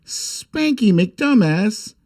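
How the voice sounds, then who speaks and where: A man hosting a comic political livestream, speaking with sarcastic, irreverent bite and theatrical, drawn-out emphasis. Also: high-pitched, very animated, darkish tone. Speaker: host of a political humour livestream. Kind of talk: comic political commentary and mock storytime reading.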